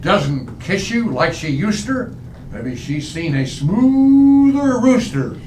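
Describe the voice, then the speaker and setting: A man's voice: sits high, big pitch swings, slow. A man announcing in the scripted style of a 1940s radio show. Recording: roomy, distant mic, loud music behind.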